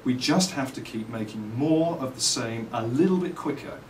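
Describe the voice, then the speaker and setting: A middle-aged man with an English accent speaks in an interview with a mid-pitched voice. His phrasing is measured and articulate, moving through reflection and anecdote. The room echoes around him and the microphone sits at some distance. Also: darkish tone. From England. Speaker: company owner and loudspeaker designer being interviewed. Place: indoors at a trade show. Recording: roomy, distant mic, faint background noise.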